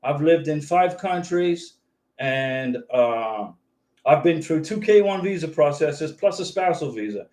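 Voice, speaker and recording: A middle-aged American man hosting a live stream, reading viewer questions aloud and answering in a brisk, coaching way, often repeating words. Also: on the high side, lively intonation, a little mellow, roomy sound. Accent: mixed American, no regional accent. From United States, military family.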